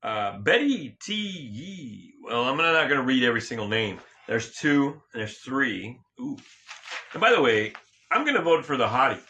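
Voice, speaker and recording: A man hosting a casual sports talk show, slightly hoarse, rambling and joking with frequent restarts and self-corrections. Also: mid-range voice, bright, forceful tone, slight background noise. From California. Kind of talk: casual solo sports and politics chat.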